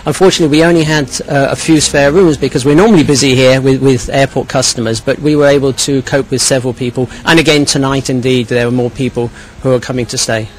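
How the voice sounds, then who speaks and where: A man giving a measured, explanatory account in an interview, sometimes repeating phrases as he goes. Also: mid-range voice, steady tone. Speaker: hotel owner and tourist police volunteer interviewee. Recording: clearly noisy location recording with ambient sound.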